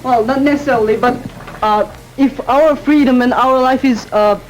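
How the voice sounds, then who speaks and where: A teenage boy argues his side in a student panel debate. He speaks haltingly, filling pauses and restarting phrases. His voice is very high for a young man, with a faint hiss behind it. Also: lo-fi sound. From Korea. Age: teens. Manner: hesitant, many fillers and restarts.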